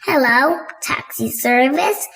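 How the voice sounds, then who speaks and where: A woman's voice: high voice, animated, takes it slowly, creaky, a bit bright, soft background music, heavily acted. A woman voicing a busy, chatty female rabbit who drives a taxi in a children's storybook. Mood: brisk, helpful. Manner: chatty, helpful.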